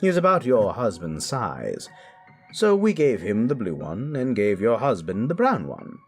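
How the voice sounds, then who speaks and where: A man's voice: lowish voice, wildly swooping pitch, unhurried, slightly rough, faint music behind, pitched above the performer's own voice, full character voice. A man voicing a courteous, breezy male undertaker in a macabre storybook tale. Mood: cheerfully obliging.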